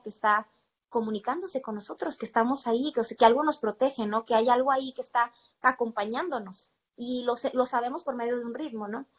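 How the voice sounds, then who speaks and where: Female podcast guest, Mexican accent, fairly high voice, emphatic conversational storyteller, slightly muffled sound. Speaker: interview guest, percussion teacher.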